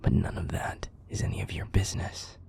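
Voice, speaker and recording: Male actor as bitter werewolf alpha for audio drama: very deep, gravelly, threatening, commanding. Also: little pitch movement, fairly quick, warm tone, in a whisper. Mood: weary, cold contempt. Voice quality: gravelly, breathy growl. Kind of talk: audio roleplay drama acting.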